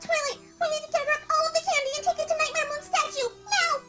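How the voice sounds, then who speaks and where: Extremely high-pitched excitable pony, music behind. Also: female voice, fast talker. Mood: urgent, serious. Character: excitable female cartoon pony, hurt and urgent. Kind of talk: fan fiction audio drama.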